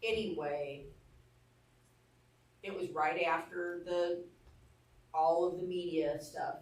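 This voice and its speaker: A woman with an Arkansas accent teaching a real estate class, telling candid stories in a blunt, down-to-earth way. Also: on the low side. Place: training room. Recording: echoey room, mic far off.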